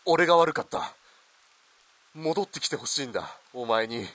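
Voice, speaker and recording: Melodrama suitor, male, played by a man: wealthy, blunt, imploring and domineering, mid-pitched with a rough husky edge. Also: lively intonation, moderate pace. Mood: pleading. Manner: pleading, forceful, blunt. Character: pleading, domineering, wealthy Japanese lover, adult man. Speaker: actor in amateur radio drama. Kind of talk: melodramatic radio drama acting.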